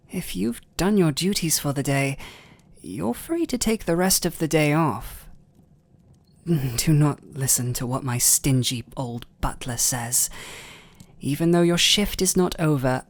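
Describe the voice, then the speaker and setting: A man with a British accent playing a gentle, overworked vampire lord in a romantic ASMR roleplay, speaking softly, formally and a little haltingly. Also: on the high side. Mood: fond, gentle. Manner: soft, breathy, halting, formal. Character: gentle, overworked aristocratic male vampire lord, restrained and devoted.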